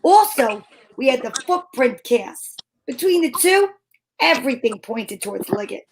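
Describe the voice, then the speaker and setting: A breezy female private eye acted out by a woman narrates her case in old-time radio detective style. Her voice sits high, and her telling is wry, playful and a little theatrical. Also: sing-song, a little slow, noisy background, exaggerated voice. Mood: matter-of-fact, wry.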